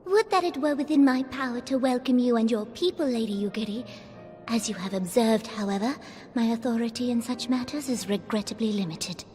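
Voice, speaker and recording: An actress playing a gracious young sultana who speaks formally and gently. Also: female voice, a little high, a little fast, long reverb tail, faint music behind, lightly acted. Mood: regretful. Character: young female sultana, gracious but regretful. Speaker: game character.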